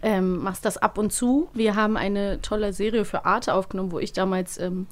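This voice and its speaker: A woman's voice: medium pitch, steady tone, normal speed. A woman co-hosting a podcast, chatting casually and sharing anecdotes in a studio. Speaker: podcast co-host, voice actress.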